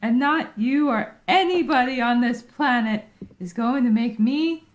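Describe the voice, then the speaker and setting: A woman's voice: a little high, measured pace, a little hiss or hum, natural voice. A woman reading the part of a timid but stubborn nerdy teenage boy at a casual script read. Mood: nervous, stubborn.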